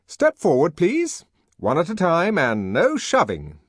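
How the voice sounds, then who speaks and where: A man's voice: high-pitched, lively intonation, moderate pace, a little mellow, no echo, studio quality, voice pushed up. A man voicing a fussy, peremptory young official. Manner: peremptory, then coaxing.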